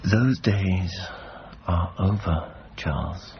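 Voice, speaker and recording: An actor in a radio drama playing a weary, disillusioned middle-aged former adventurer, bitter at himself, halting and brooding. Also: male voice, low voice. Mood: weary, melancholy. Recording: dull, slightly noisy radio recording.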